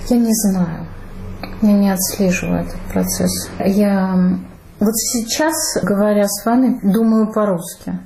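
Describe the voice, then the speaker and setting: A middle-aged woman novelist answering interview questions in a thoughtful, measured way. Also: medium pitch, creaky, a little hiss or hum. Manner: thoughtful, measured, with soft laughs. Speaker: novelist guest. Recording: roomy studio sound.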